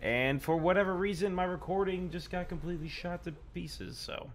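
A man's voice: sits high, moderate pace, soft background music. A man streaming a game, losing his voice after the flu, chatting casually and poking fun at himself. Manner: chatty, self-mocking. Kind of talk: casual gameplay commentary.

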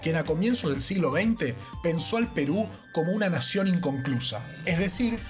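A man's voice: a little high, lively intonation, normal speed, faint music behind. A man with an Argentine accent narrating a scripted educational podcast as its host. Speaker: podcast host, social sciences teacher.

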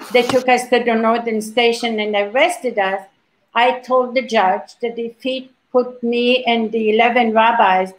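Older woman, panel founder, fairly high voice, emphatic storyteller over a video call. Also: low-quality recording. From Libya. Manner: emphatic storyteller, frequent restarts. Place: indoor home room.

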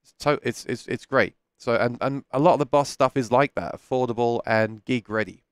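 A man in his thirties chats candidly through a headset mic in a small home studio, answering viewers' questions with frequent restarts. His voice is smooth and resonant, set at an ordinary middle pitch. The sound is dry and close. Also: moderate pace, no room sound. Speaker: live stream host. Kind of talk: casual live chat answering viewer questions. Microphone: headset microphone.

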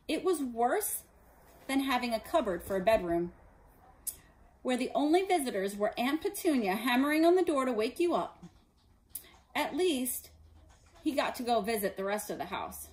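Animated woman reading a storybook. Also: a little high, poor audio. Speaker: storybook reader. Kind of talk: expressive read-aloud narration for children. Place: living room indoors.

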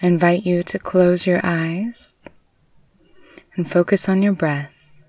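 A woman narrating a guided meditation in a soothing, unhurried, gently instructive way. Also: on the low side, steady tone, dry recording. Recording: distant mic with faint background noise.

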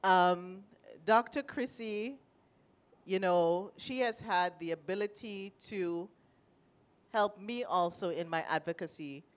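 A middle-aged woman with a Trinidadian accent, sharing a personal story at a panel with animated, emotional delivery, speaking into a handheld mic. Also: on the low side, takes it slowly, clear, resonant tone. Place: panel stage in a large indoor room.

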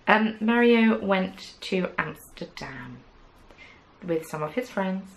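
A woman's voice: mid-range voice, lively intonation, slow pace, vocal fry, a little hiss or hum, low-quality recording. A young woman hosting a knitting podcast, chatting casually to camera from a small craft room, rambling with lots of "um"s and playful asides. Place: small indoor craft room with yarn shelves. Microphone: camera at desk distance.

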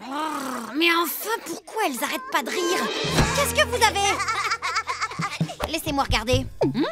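Loud music runs under a small, curious female cartoon animal. She is giggly and excitable, with a very high voice that bounces up and down. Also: normal speed. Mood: curious, amused. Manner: excitable, exclamatory. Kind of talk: children's cartoon dub.

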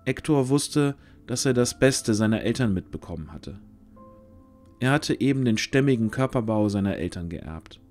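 A man narrating a scripted fantasy audio drama, using dramatic pauses. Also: lowish voice, animated, a little mellow. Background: music.

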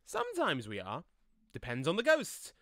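A man's voice: fairly high pitch, wildly swooping pitch, moderate pace, a bit bright, dry recording, clean studio sound, exaggerated voice. A man acting in an audio play as a jokey, laid-back ghost with a casual, slangy, rambling way of talking. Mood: matter-of-fact, playful. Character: chatty, jokey young male ghost, laid-back slacker.

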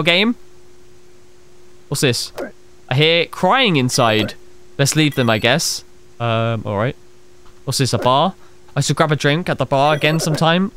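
A young man plays a horror game, reading its text aloud and reacting with quick exclamations and asides to viewers. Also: medium pitch, fairly bright tone, a little hiss or hum. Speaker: gaming streamer. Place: small room at a desk. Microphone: headset. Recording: game music playing under the voice.

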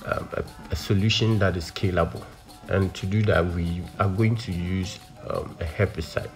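A man's voice: deep voice, darkish tone. A man explaining farm work in an instructional voice-over, with frequent ums and okays. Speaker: farming channel presenter.

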